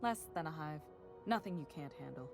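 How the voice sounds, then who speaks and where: An actress playing a brisk, wry woman boss aboard a spaceship in a video game, dry and matter-of-fact. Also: sits low, expressive, creaky. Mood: dry, businesslike. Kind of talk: video game dialogue acting. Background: music.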